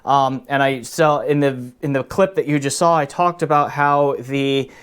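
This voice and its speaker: A man's voice: mid-range voice, steady tone, moderate pace, a bit bright, clear, resonant tone. Casual man reviewing gadgets. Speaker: product reviewer. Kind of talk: casual unscripted audio gear review.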